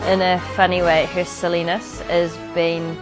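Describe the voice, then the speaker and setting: A woman's voice: on the low side, little pitch movement, measured pace, rough recording. A woman athlete speaking informally in an interview, reminiscing and giving her impressions. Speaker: cricket player. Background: music.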